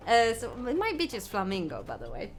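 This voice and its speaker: A young woman from the Netherlands with a Dutch accent, chatting excitedly and giggling on a gaming live stream as she reads out viewers' comments. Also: medium pitch, fairly quick, vocal fry, faint music behind. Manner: excitable, giggly, reading chat aloud. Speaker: gaming livestreamer. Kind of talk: casual live stream chat.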